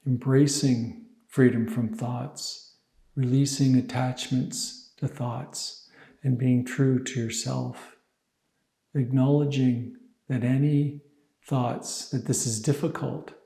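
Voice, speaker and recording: Slow, gravelly male meditation guide. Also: medium pitch, echoey room. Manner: calm, unhurried phrasing with pauses. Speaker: meditation teacher.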